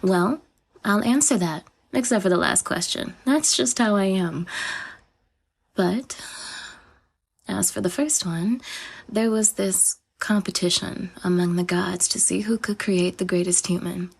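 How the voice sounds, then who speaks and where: A woman voicing a vain, possessive goddess in an audio roleplay, with a breathy, whispery voice and a self-adoring, teasing manner. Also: mid-range voice, a little slow. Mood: conspiratorial. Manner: self-adoring, teasing, sing-song swings up. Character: vain, possessive female goddess, adult, obsessive.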